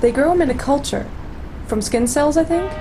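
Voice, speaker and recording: A woman's voice: sits high, normal speed, music in the background, close to an everyday voice. A woman playing a chatty companion sharing news in informal, conversational radio play dialogue. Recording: faint background ambience noise.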